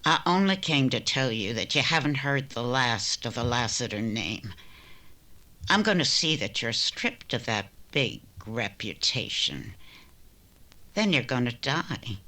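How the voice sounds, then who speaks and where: A man's voice: mid-range voice, big pitch swings, a little slow, pushed and bright. A man playing a bitter, vengeful widow in a radio western, cold and accusing. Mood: cold menace. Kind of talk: old-time radio western drama acting.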